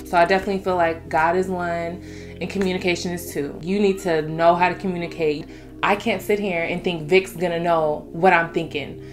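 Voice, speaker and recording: Animated young woman chatting. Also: on the low side. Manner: animated storyteller, laughs and curses freely. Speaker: co-host of couple channel. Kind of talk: casual couple Q&A chat.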